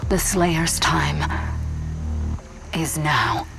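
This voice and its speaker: An actress playing a weary scientist turned reverent believer, recording a solemn video game log in a low, creaky voice that slips toward whispers. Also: female voice, very slow, loud music behind the voice, exaggerated voice. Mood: solemn, fervent. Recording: clearly noisy log recording.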